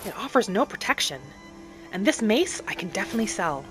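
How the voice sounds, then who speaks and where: A gentle young elf woman, played by a woman gamer, narrates her own fantasy game journey. She is soft-spoken and unhurried, musing to herself at a medium pitch. Music plays clearly behind her voice. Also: very animated. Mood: thoughtful, then hushed. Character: gentle young female wood elf alchemist and forager. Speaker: player of a solo fantasy roleplay video series.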